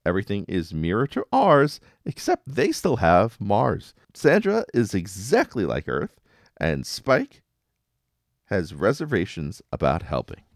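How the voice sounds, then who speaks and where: A male podcast host recaps episodes casually in a fairly low voice. His delivery is wry and very animated, with playful dramatic emphasis, recorded dry with no room sound. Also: a little slow, no echo.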